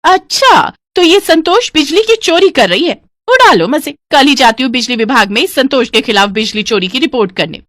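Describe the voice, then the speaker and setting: A woman doing a shrill, spiteful neighbour. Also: big pitch swings, normal speed, few pauses, fairly bright tone, dry recording, studio quality. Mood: gloating. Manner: sly, gloating. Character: jealous, vengeful middle-aged neighbour woman. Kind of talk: Hindi cartoon moral-story acting.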